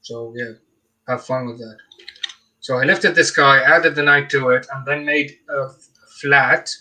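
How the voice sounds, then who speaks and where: A man's voice: mid-range voice, fairly even intonation, measured pace, bright, forceful tone. A young man with a thick Iraqi accent, co-hosting a casual talk about scripture, hesitant with many fillers and restarts. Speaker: co-host.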